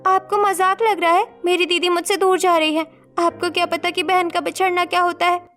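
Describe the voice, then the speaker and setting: Woman as a pert, very high-voiced mischievous girl. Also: little pitch movement, normal speed, fairly bright tone, faint music behind. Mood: hurt. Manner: pert, cheeky. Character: mischievous, sharp-tongued young sister of the bride.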